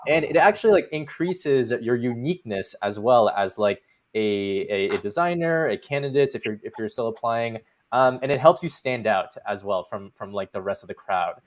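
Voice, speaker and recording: A man giving casual advice as a panelist, informal and halting, leaning on "like" as a filler. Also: mid-range voice, expressive. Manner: informal, halting, heavy use of "like". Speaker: panelist, product designer.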